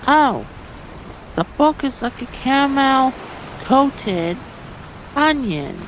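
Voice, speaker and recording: A woman's voice: sits high, sing-song, extremely slow, exaggerated voice. A woman voicing a curious, earnest young female pony in a cartoon comic read-along. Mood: realizing. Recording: dull lo-fi mic, faint noise.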